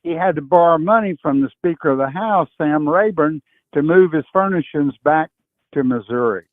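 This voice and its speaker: Male caller in his eighties, higher-pitched, halting, opinionated political talk over a thin phone line. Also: dry recording. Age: 80s. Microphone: phone call.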